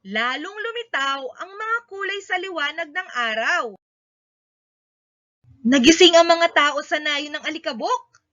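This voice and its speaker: A Filipino woman reads a story aloud for children. Her voice is high and very bright, delivering short, emphatic sentences. The recording sounds somewhat dull and lo-fi.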